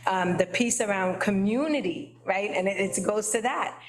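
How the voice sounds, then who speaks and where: A woman whose first language is Haitian Creole, speaking up at a committee meeting. She talks at a middle pitch, thinks out loud and keeps checking in with "right?" The sound comes from an echoey meeting room. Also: normal speed. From United States. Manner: thinking aloud, leans on tag questions like "right?". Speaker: library trustee. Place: meeting room around a table.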